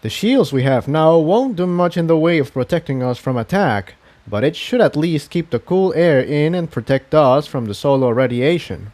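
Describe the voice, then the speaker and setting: Male reader as male unicorn tinkerer, animated intonation, confident, explanatory, reassuring, adventure story. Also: a little high, normal speed, few pauses, voice pushed up, natural voice.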